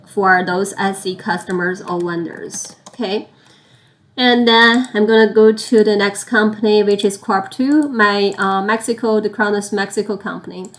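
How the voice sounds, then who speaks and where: A woman teaching software in an unscripted screen-share tutorial, explaining step by step, often repeating and rephrasing herself. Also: medium pitch. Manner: halting, repeats and rephrases phrases as she explains. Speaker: software instructor.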